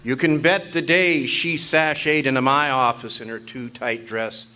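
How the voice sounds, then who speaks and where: Man playing a bright-voiced wisecracking 1940s private eye. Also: mid-range voice, measured pace. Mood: wry narration. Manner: wry, deadpan. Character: wisecracking hardboiled 1940s private detective, narrating his own case. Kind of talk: old-time radio noir acting, first-person narration and dialogue. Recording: faintly noisy live stage recording.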